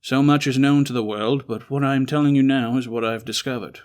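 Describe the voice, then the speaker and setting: A man's voice: medium pitch, fairly even intonation, quick pace, smooth, natural voice. A man playing a brilliant, weary but resolute Victorian detective in a one-man audio drama, articulate, formal and emphatic. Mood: intense, emphatic.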